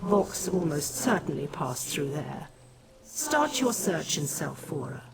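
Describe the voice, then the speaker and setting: Stately low-voiced goddess queen. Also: very animated, slow pace, vocal fry, full character voice, poor audio. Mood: grave, instructive. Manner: formal, stately delivery. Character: regal living goddess, solemn and benevolent female ruler. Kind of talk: fantasy video game voice acting. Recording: loud music behind the voice.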